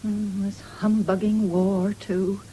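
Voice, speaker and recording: An actress in a 1970s radio drama playing a stern, stubborn farming widow who is blunt and forthright. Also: female voice, medium pitch, little pitch movement, takes it slowly, mellow, close to an everyday voice. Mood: worried. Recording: noisy old radio drama recording.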